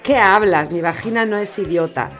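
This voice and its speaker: A woman's voice: mid-range voice, moderate pace, a bit bright, soft background music, lightly acted. A woman playing an exasperated, sardonic complainer in a comic audio play, firing off indignant questions. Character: exasperated, sarcastic woman complaining among friends. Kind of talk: comic theatrical monologue in audio fiction.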